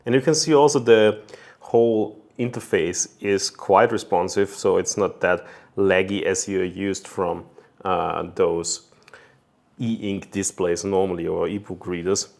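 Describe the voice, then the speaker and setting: A man's voice: low-pitched, steady tone, unhurried, gravelly, darkish tone. A man giving a casual hands-on product review, talking conversationally and thinking aloud as he goes.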